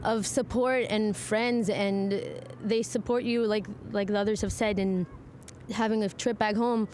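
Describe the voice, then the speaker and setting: A woman's voice: mid-range voice, steady tone, measured pace, darkish tone. A young woman, a soldier, giving a personal testimonial in an interview. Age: young adult. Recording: faint outdoor traffic noise.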